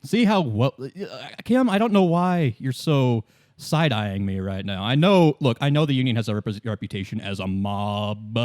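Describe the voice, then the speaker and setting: A slyly corrupt union boss as a man acts him out in a roleplay game, all ingratiating friendliness and reassurance. The pitch swings freely and the sound is dry. He coaxes like everyone's best pal. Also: lively intonation, normal speed, darkish tone, dry recording, close to an everyday voice. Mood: sly, chummy. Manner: chummy, wheedling, over-assuring.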